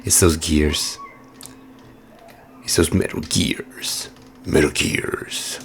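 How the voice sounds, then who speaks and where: A hoarse man giving casual, musing let's-play commentary with plenty of fillers while he plays. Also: very deep voice, fairly even intonation, very slow, slight background noise. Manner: musing, chatty, many fillers. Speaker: game streamer.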